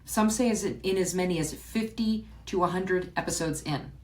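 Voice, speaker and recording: A woman's voice: on the low side, normal speed. A woman hosting her own podcast, talking alone to her listeners in a chatty way, often repeating words, restarting her sentences and laughing now and then. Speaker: podcast host. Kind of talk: solo podcast reflection.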